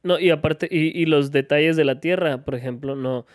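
A man's voice: a little high, fast talker, dry recording, studio quality. A man chatting casually as a podcast co-host, switching between Spanish and English mid-sentence. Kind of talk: casual bilingual chat.

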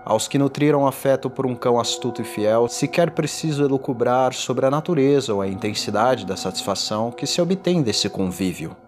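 A man playing a tormented condemned man in a gothic horror audio drama, confessing in a formal, theatrical and deliberate delivery. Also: medium pitch, lively intonation, lightly acted. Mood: wistful, grave. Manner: theatrical, deliberate, ornate diction. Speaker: narrator. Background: music.

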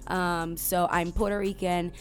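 A young woman from the Virgin Islands co-hosting a casual podcast, talking about music in an animated, emphatic, profane way. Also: on the low side, measured pace. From US Virgin Islands (St. Croix). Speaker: podcast co-host.

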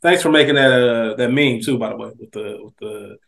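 A man's voice: medium pitch, brisk, vocal fry, a bit bright. A man co-hosting a podcast, giving his take on television in casual chat. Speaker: podcast co-host.

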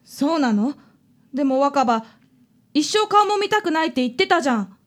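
A woman's voice: high voice, animated, moderate pace. A woman playing a loyal, indignant and blunt teenage girl in a radio drama. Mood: surprised.

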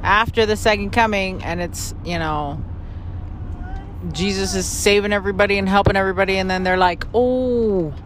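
A woman's voice: mid-range voice, normal speed. A woman giving casual religious commentary in an informal, rambling, conversational way. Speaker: family podcast host. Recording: noisy outdoor or in-car ambience.

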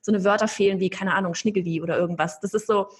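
A young woman talking casually as a podcast guest, lively and chatty with many fillers and restarts. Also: mid-range voice, rapid-fire. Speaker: podcast guest, online course creator.

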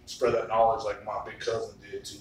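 A man's voice: on the low side, monotone, brisk. A man in his thirties hosting a casual chat, rambling and swearing freely with lots of "like". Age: 30s. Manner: loose, profane storytelling with frequent "like". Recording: echoey room, far from the mic, faint noise.

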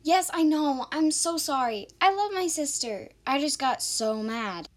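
A woman's voice: high-pitched, expressive, a little slow. A woman playing an impulsive, hot-tempered female ex-convict in an amateur radio drama, emotional and exclamatory. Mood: pleading, remorseful.

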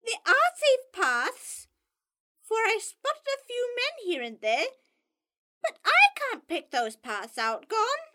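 A woman voicing a sharp, scolding female marsh harrier bird in a storybook reading. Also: extremely high pitch, sing-song, takes it slowly, pitched above the performer's own voice, heavily acted. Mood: bossy.

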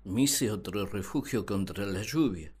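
A woman's voice: rumbling low, lively intonation, measured pace, a little mellow, slightly rough, full character voice. A woman playing a naive, grumbling first man who loves solitude, with whiny, exaggerated delivery in a radio drama. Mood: annoyed, puzzled. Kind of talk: radio drama monologue and dialogue.

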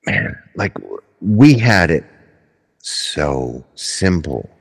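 A low-pitched man, a musician guest on a podcast, speaks in a reflective, unhurried conversational way. He often stumbles and doubles words before finding his point. The room gives his voice a long, lingering reverb. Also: very animated, breathy edge. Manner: reflective, stammering repeats. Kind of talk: casual conversation.

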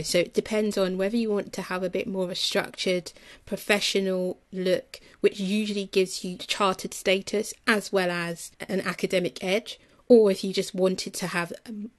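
A woman's voice: fairly low pitch, fairly even intonation, moderate pace, no echo. Woman podcast host, close and dry. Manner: clear, explanatory, encouraging. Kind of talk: explanatory intro, then interviewing.

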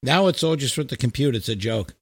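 A man chatting casually as a musician guest on a podcast, telling things in an animated, sweary, informal way. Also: medium pitch, fast, husky, no room sound. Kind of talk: casual chat.

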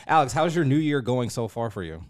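A man's voice: medium pitch, expressive, a little fast. Playful thirty-year-old man, podcast host. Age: 30s. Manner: playful, informal, exclamatory. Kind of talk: casual chat.

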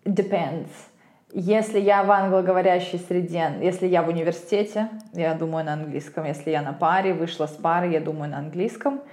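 A woman from Russia, an English teacher co-hosting a podcast, telling stories in an animated, emphatic way. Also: lowish voice, fairly quick. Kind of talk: casual podcast chat. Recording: roomy echo.

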